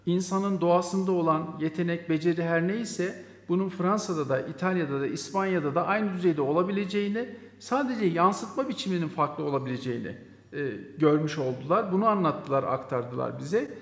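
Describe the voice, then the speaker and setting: Guy, pretty high pitched, teacher giving an interview, echoey room. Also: normal speed. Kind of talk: interview testimony. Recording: echoey room with long reverb.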